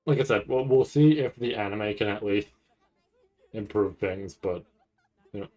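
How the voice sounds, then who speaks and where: Lower-pitched man rambling casually. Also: a little mellow. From Canada. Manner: halting, thinks aloud with repeated restarts. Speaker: streamer reacting to a live anime event. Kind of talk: casual rambling live commentary.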